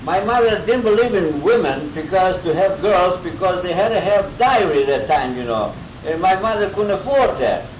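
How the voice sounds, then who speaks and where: A man's voice: high-pitched, lively intonation, moderate pace, hardly any pauses, reverberant, recorded from a distance, slight background noise, low-quality recording. A man in his eighties, from Greece, telling an interview about his childhood in a rambling way with chuckles. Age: 80s. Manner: chuckling, rambling storyteller with restarts. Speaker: interviewee, grandfather. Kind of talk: casual oral-history interview. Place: home.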